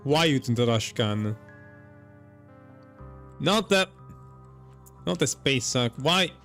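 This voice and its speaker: A man's voice: medium pitch, lively intonation, slow pace, a bit bright. A man giving casual, jokey live commentary as a gaming streamer. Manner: jokey, says "lol" aloud. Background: faint game music.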